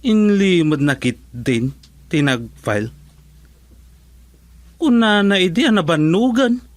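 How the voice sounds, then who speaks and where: A man's voice: sits high, expressive, takes it slowly, dry recording. A man with a Filipino accent acting as an easygoing, talkative village council candidate in a comedy radio drama, full of exclamations. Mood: conversational.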